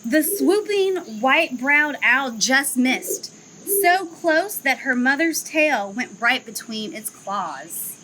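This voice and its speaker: A young woman who works at a zoo reads a children's picture book aloud outdoors, in an expressive storytelling manner. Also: sits high, a little hiss or hum, poor audio. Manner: slow, expressive storytelling, livelier chat afterward. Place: outdoor zoo enclosure with trees.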